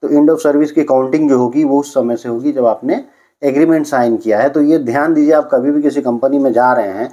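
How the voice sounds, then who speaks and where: A man's voice: medium pitch, a little fast, mellow, muffled recording. A man from Uttar Pradesh presents a conversational news roundup to viewers, explaining points by repeating and rephrasing them and mixing in English words. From Uttar Pradesh, India. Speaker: vlogger presenting news updates.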